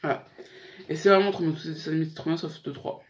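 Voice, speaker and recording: A man's voice: fairly high pitch, steady tone, quick pace, slight background noise. A young man hosting a casual YouTube countdown, talking in a slangy, joking, rambling way. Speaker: YouTube host. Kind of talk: casual chat to camera, countdown list.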